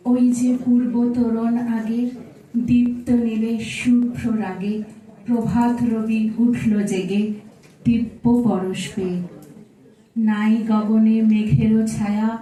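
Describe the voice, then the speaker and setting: A woman giving a formal, declamatory poetry recitation with measured phrasing. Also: medium pitch, mellow. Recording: very echoey room, distant mic, noisy.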